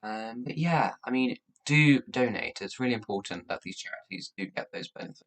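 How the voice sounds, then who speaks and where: A young man from Latvia, a native Russian speaker, chatting casually as a livestream host in a rambling, halting way. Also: medium pitch, animated, a bit bright. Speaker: livestream host and youth charity campaigner. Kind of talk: casual livestream chat, thanking viewers.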